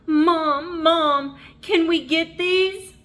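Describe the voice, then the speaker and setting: A woman's voice: very high-pitched, steady tone, very slow, fairly bright tone, voice pushed up, exaggerated voice. A woman voicing an eager, jittery little boy rabbit in a storybook, pleading and insisting that he is big.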